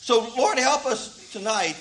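A man's voice: very high-pitched, expressive, measured pace, a bit bright, slight background noise, poor audio. A man, preaching a sermon in a folksy way that repeats words as he starts thoughts. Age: 50s. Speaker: pastor.

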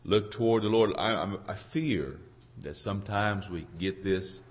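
A hoarse man preaching an informal sermon in a halting, folksy way, searching for his words and clearing his throat. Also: lowish voice. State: hoarse from a cold. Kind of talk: informal sermon with congregation responses.

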